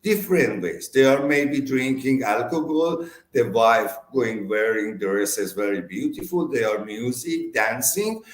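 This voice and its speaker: A man from Iran with an Iranian accent, a native Persian speaker giving interview answers in halting, broken English. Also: medium pitch, lively intonation. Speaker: interview guest. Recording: roomy echo.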